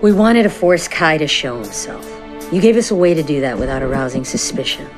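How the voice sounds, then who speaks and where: A woman's voice: low-pitched, expressive, brisk. An actress playing a tough, cool-headed, no-nonsense woman racer, blunt and assured, in a video game cutscene. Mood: firm, blunt. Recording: loud music under the voice.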